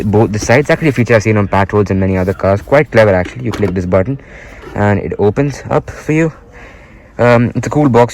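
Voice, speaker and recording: A man giving a casual walkaround of a car interior, pointing out features with frequent "uh" fillers, recorded on a handheld phone inside a car in a showroom. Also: fairly low pitch. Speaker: car reviewer.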